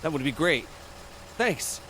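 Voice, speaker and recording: A man's voice: a little high, big pitch swings, unhurried, pushed and bright, a little hiss or hum, heavily acted. An actor playing an anxious eighteen-year-old boy on the run in an audio drama. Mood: guarded, polite.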